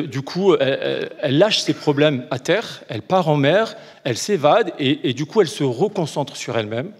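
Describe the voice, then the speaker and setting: Husky man telling a moving story. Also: mid-range voice. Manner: earnest, hesitant, emotional build. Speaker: association worker receiving prize. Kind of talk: storytelling on stage. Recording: reverberant hall.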